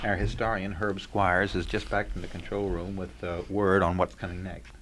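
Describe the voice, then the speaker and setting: A man's voice: deep voice, level tone, moderate pace, fairly bright tone, a little hiss or hum. Man hosting talk radio. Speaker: radio talk show host. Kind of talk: casual studio talk radio conversation.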